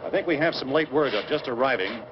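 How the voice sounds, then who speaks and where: A man's voice: medium pitch, expressive, lightly acted. Muffled, noisy grave American TV newsman played by an actor. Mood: grave, urgent. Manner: formal, measured, authoritative. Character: grave, sober male TV newscaster reporting a catastrophe. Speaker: television newscaster. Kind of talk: 1960s TV news bulletin, scripted reading. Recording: dull noisy old film soundtrack, television sound.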